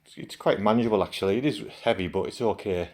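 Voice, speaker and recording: A middle-aged man with a croaky voice from a cold, chatting casually in a home vlog as he works and comments on it. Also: on the low side, quick pace, darkish tone. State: hoarse from a cold. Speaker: gaming channel host.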